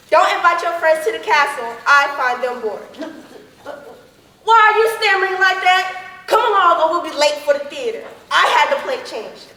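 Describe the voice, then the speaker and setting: A woman's voice: high-pitched, sharp tone. A young female student playing a nagging, haughty fairy-tale stepmother turned royal wife, scolding imperiously on stage. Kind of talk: school stage comedy acting. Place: school stage in a hall. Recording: echoey hall, long reverb.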